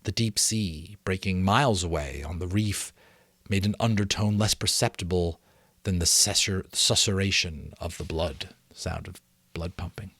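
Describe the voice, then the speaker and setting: Middle aged guy, lowish voice, reading a novel out loud all dramatic and measured like an audiobook, really dry sound. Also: husky, no echo. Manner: expressive, measured storytelling. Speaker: amateur audiobook reader.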